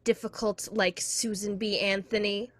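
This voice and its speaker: A woman's voice: medium pitch, little pitch movement, measured pace, lightly acted. A young woman playing a skeptical, persistent girlfriend in a contemporary radio drama, dry and argumentative as she questions her partner. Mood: annoyed. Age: young adult. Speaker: student voice actor.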